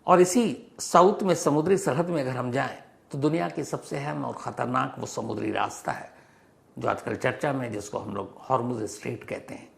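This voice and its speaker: A man's voice: mid-range voice, moderate pace, vocal fry. A man presenting an explanatory news monologue in an emphatic storytelling manner, often posing questions and rephrasing points for clarity. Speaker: host of a news explainer show.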